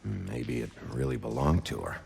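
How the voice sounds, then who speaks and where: A man playing a gruff, weary detective in a video game, speaking in a terse, matter-of-fact way with a deep, gravelly voice. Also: wildly swooping pitch, normal speed, a little mellow, faint music behind, heavily acted. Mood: sober, investigative. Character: gruff, weary male detective, blunt but considerate.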